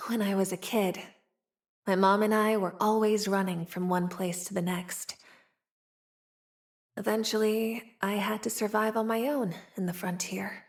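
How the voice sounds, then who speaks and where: A woman's voice: medium pitch, fairly even intonation, measured pace, lightly acted. A young woman voicing a playful, streetwise, wry frontier woman with a tail in a video game. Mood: wistful, world-weary. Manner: teasing, sly, drawling, sighing between wry remarks. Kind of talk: video game character voice lines.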